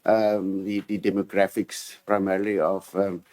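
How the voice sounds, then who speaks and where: A man who chairs a council committee, giving formal, measured answers in testimony. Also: deep voice, flat delivery, darkish tone, no echo.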